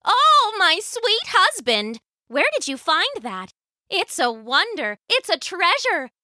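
A woman acts out an old farmer's wife in a children's storybook. She is excitable and affectionate, her voice high and swooping. The sound is bright and dry. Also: slow pace, no echo, heavily acted. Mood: delighted.

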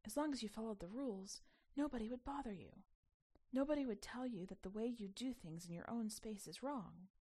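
A woman voicing a secretive young girl from a mirror world in a storybook, hushed, breathy and enticing. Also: fairly high pitch, brisk. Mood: soft persuasion. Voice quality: breathy, half-whispered.

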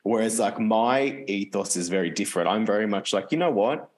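A man's voice: on the low side, expressive, fairly quick. A man co-hosting a podcast, talking casually and earnestly with lots of "like" fillers. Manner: rambling, frequent "like" fillers, open and earnest. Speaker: podcast co-host. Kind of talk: casual conversation.

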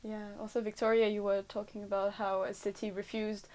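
A woman moderating a discussion panel, putting questions to guests in a measured way. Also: mid-range voice, little pitch movement, darkish tone.

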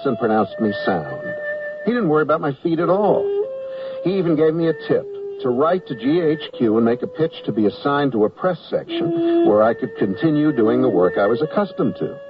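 An actor playing a wry, polite army draftee in a radio comedy, speaking in a dry, understated, deferential way. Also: male voice, mid-range voice, close to an everyday voice. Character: wry, polite male army draftee narrating his misadventures. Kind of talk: radio comedy acting and scripted narration. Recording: muffled, noisy, distant 1970s radio recording.

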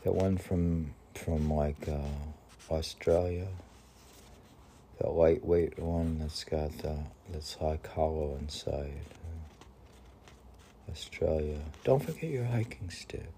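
Male poetry reader, dark mellow tone, very slow pace, musing and conversational between recitation and offhand remarks. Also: extremely low pitch, slight background noise. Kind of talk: poetry reading with casual rambling asides.

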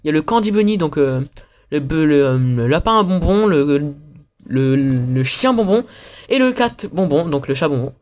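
A man's voice: fairly high pitch, lively intonation, darkish tone, dull audio. A man making a gaming video, chatting casually in a slangy, rambling way, with some phlegm in his throat. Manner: slangy, rambling, talks fast. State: says he is sick, phlegm in throat. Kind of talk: casual gaming chat with an upbeat video intro.

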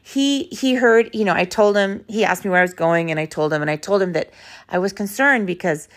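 A woman hosting a podcast in an informal, conversational and emphatic way, telling personal stories in a slightly hoarse voice. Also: medium pitch, a little fast. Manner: conversational, emphatic, uses rhetorical questions. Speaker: podcast host.

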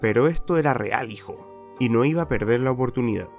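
A man's voice: mid-range voice, a little fast. A man with a Chilean accent narrating a scripted radio play in a colloquial storytelling manner. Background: music.

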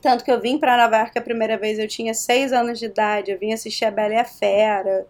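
A woman from Rio de Janeiro with a Carioca accent, a guest chatting casually in an interview, animated and quick to laugh. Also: fairly high pitch. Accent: Carioca Portuguese. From Rio de Janeiro, Brazil. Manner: animated storyteller, laughs often.

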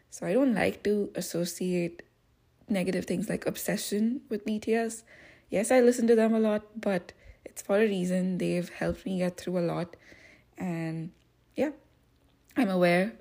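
A woman's voice: fairly low pitch, creaky, warm tone. A woman hosting her own podcast, talking alone in a casual, reflective way that rambles with many fillers. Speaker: podcast host. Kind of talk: casual solo podcast talk.

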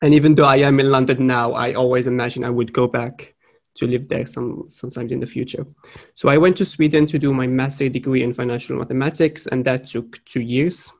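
A young man from Morocco, talking as a podcast guest in an unscripted way, pausing to search for words. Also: mid-range voice, steady tone. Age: young adult. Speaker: podcast guest, trading graduate. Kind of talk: casual podcast interview.

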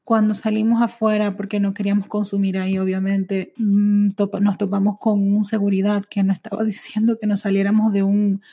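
A woman in her thirties who grew up in the United States hosts a personal podcast from her small apartment, speaking into a microphone in an intimate, reflective way with frequent pauses on "eh". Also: medium pitch, completely flat delivery, dark tone. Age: 30s. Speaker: podcast host. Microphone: studio microphone.

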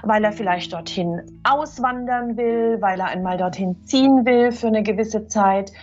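A woman's voice: medium pitch, moderate pace, a little mellow. A woman sharing her views in a casual conversation, thoughtful and opinionated. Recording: lo-fi recording with faint music.

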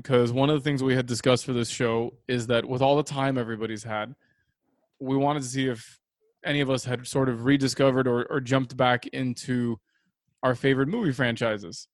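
A man's voice: mid-range voice, fairly even intonation, moderate pace, no echo, clean studio sound. A man co-hosting a podcast, teasing the others and steering the casual chat over a video call. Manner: teasing, laughs easily. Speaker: podcast co-host.

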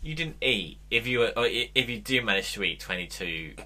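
A man co-hosting a casual football podcast, chiming in with quick agreement and frequent laughter. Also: on the low side, lively intonation, pushed and bright. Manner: quick agreeing back-chat, laughs often. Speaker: podcast co-host.

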